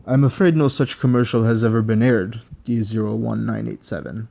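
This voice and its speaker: A man voicing a calm, clinical male doctor who questions in a measured, formal way. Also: fairly low pitch, vocal fry.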